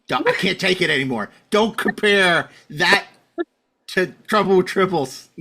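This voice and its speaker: A man's voice: sits high, expressive, measured pace, vocal fry, bright, forceful tone. A man hosting a casual panel discussion, opinionated and given to bantering with his guests. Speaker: podcast host.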